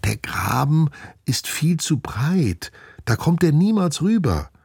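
A man voicing an alert, cautious young schoolgirl in a children's story, urgent and sometimes hushed. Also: a little high, sing-song, slow, creaky, breathy edge, no room sound, clean studio sound, voice pushed up, exaggerated voice. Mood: doubtful.

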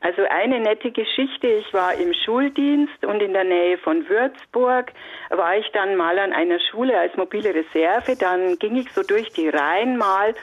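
A woman's voice: medium pitch, a little slow. An older woman with a Franconian accent calling in to a radio show, chatting with hesitant fillers over the phone. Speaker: caller. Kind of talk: phone-in chat. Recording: muffled phone line.

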